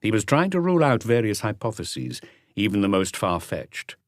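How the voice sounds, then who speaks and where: A man's voice: on the low side, sing-song, moderate pace, no echo, studio quality. A man reading a mystery novel as an audiobook narrator in a scripted storytelling style.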